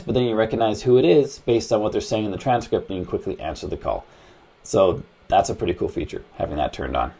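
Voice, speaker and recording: A man's voice: lowish voice, vocal fry, dark tone. A man narrating a casual step-by-step phone tutorial in a plain-spoken, conversational way, as the host of a tech how-to.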